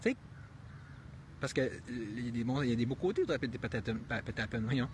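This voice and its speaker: A Québécois man talks off the cuff on a live stream, circling his point with restarts and fillers. His voice sits at an ordinary middle pitch for a man, and he grows earnest and pointed when he asks himself questions. A faint hum of room ambience hangs behind him. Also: expressive, fast talker. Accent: Québécois French. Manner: rambling, thinking aloud with many restarts and fillers; earnest, with bursts of emphatic rhetorical questions. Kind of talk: unscripted live commentary.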